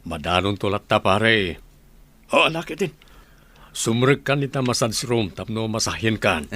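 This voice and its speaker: A man playing an earnest, gentle father in an Ilocano audio drama, speaking with an Ilocano accent. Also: fairly low pitch, expressive, measured pace, exaggerated voice. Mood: jovial. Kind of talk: radio drama acting.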